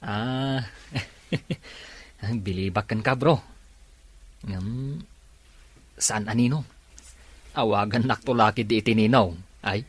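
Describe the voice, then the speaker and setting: A man's voice: on the low side, slow, pauses often, slightly rough, no echo. An actor with a Filipino accent playing a chummy, teasing young delivery man in a radio drama, bantering and exclamatory.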